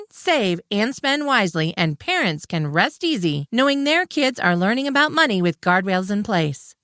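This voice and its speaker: Lively female advert announcer. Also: fairly high pitch, dry recording, clean studio sound. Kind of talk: scripted advert narration.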